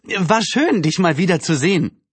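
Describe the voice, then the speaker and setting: A man voicing a prim, excitable middle-aged lady neighbour with a fussy, disapproving manner in a storybook reading. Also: high voice, normal speed, husky, no echo, voice pushed up. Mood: polite.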